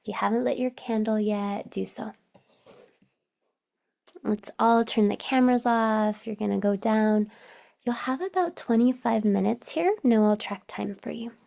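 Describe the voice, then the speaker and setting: A woman leading a guided meditation as a teacher, soothing and unhurried, with long pauses and breathy phrase endings that drop toward a whisper. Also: on the high side, warm tone. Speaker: meditation teacher and podcast host.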